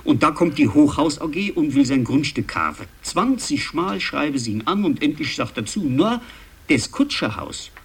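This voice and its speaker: An actor playing a chatty local man, husky and rambling, recounting gossip conversationally in a 1960s radio drama and seeking the listener's agreement. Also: a little high, very animated, normal speed, full character voice. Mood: animated gossip.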